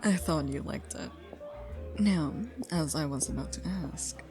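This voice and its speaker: A woman's voice: sits low, music in the background. A woman acting a flirty, teasing stranger in an intimate ASMR roleplay, coaxing playfully. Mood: flirty, inviting. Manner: playful, coaxing, drawn-out teasing with breathy asides. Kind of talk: ASMR roleplay, intimate one-sided dialogue.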